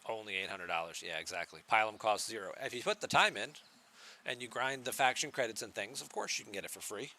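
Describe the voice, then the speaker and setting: A soft-spoken man streams a game from his home setup and chats easily with viewers. His voice sits a little low in pitch but comes across very bright and forward. He talks in a relaxed, chatty way. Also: fast, slight background noise. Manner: relaxed, chatty, answers chat questions. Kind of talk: casual live-stream chat with viewers.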